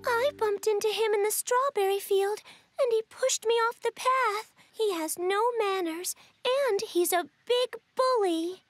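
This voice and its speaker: A woman voicing a small, sweet young animal in a children's cartoon, with an earnest, gentle, childlike manner. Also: very high-pitched, a little slow, no echo, soft background music. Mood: concerned.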